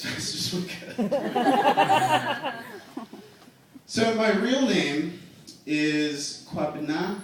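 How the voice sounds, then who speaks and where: A young man working a stand-up set, unhurried and dry, his voice rising and falling in a lively way. He sits a little back from the mic, and the club room rings around him with a strong echo. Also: a little high. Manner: slow, dry, self-mocking storytelling. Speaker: stand-up comedian. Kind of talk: stand-up routine. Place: comedy club stage. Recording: echoey club room miked from a distance.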